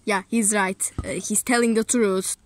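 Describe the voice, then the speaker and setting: A woman's voice: medium pitch, fairly even intonation, a little slow, dry recording, pitched below the performer's own voice, natural voice. A woman voicing a cheeky, evasive boy toy who covers for his friend. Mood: sheepish.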